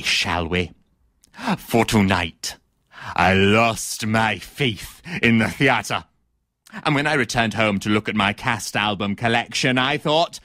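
Man playing a pompous, bright-voiced female theatre critic. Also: mid-range voice, no echo, clean studio sound. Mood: grave, disdainful. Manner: pompous, precisely worded, melodramatic. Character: haughty, embittered female theatre critic in meltdown. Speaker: actor performing a comic monologue. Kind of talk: theatrical comic soliloquy.

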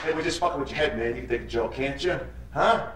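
An actor playing a menacing, mocking street gang leader in a theatrical monologue, badgering and repeating himself. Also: male voice, medium pitch, fast talker, natural voice. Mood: taunting. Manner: badgering, repetitive, punctuates lines with "huh?". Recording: echoey room, distant mic, noisy.

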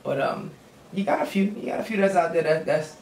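A man's voice: high voice, very animated, brisk. Blunt, streetwise man in a roomy studio. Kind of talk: casual conversation. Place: small studio room with purple lighting. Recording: roomy, distant with faint hiss.

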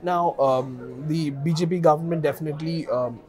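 Higher-pitched man analyzing in a studio. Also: darkish tone, soft background music. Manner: measured, hesitant with many fillers. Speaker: political analyst guest. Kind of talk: studio interview discussion. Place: news studio.

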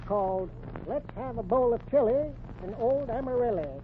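A woman's voice: on the high side, animated, normal speed, lightly acted. An actress playing a folksy small-town woman with a rural American accent and homespun manner, in 1940s radio comedy style. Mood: gossipy. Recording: tinny, noisy 1940s radio recording.

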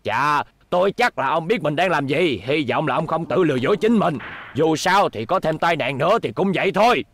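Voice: mid-range voice, animated, moderate pace, a bit bright, no room sound. An actor playing a scheming middle-aged hotel owner in a cartoon dub, oily and pompous. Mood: smug, scheming. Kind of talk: Vietnamese cartoon dubbing. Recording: background music under dubbed dialogue.

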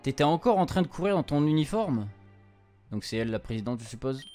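Young male streamer, informal and halting, translating a game aloud, music underneath. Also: medium pitch, big pitch swings, mellow. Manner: informal, hesitant, stumbling while translating on the fly. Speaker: streamer, let's-play host. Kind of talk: casual playthrough commentary, translating game text aloud. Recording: music behind the voice.

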